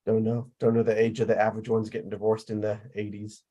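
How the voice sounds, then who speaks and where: A man's voice: on the low side, monotone, fast, mellow, lo-fi sound. A man in his forties in a casual group chat, repeating words and joking as he goes. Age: 40s.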